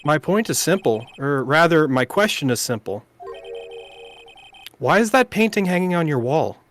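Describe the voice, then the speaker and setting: A man's voice: fairly high pitch, sing-song, normal speed, a bit bright, slight background noise. A man voicing an earnest young defense lawyer in a video game, polite, plain and a little hesitant. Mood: probing. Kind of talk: video game dialogue read aloud by amateur.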